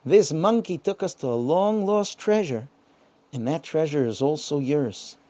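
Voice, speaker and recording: A woman's voice: low-pitched, measured pace, dark tone, lo-fi sound. A woman voicing an honest, kind sailor in a children's bedtime story, speaking earnestly and politely. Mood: amazed, earnest.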